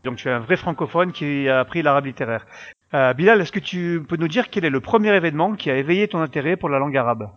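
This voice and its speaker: A male podcast host who leads the conversation with polite, well-ordered questions. His voice sits a little high for a man, and the recording sounds muffled and lo-fi. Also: expressive, quick pace, fairly bright tone, no room sound. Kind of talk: podcast interview.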